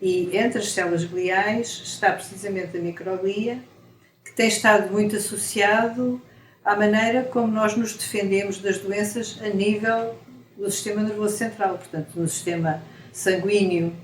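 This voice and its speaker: A woman's voice: mid-range voice, steady tone, normal speed. A woman from Portugal with a European Portuguese accent, a scientist being interviewed, explaining with analogies and frequent tag questions. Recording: echoey room, faint noise.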